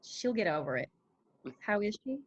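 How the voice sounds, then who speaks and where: A woman's voice: mid-range voice, sing-song, normal speed, highs cut off. A woman playing a guarded, stubborn runaway daughter with understated delivery in a screenplay table read. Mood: guarded, pleading.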